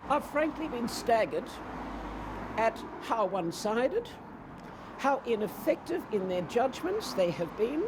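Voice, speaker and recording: A woman speaks slowly and emphatically in a news interview. Her voice sits rather high and swings widely. Clear background noise runs behind her. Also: very animated.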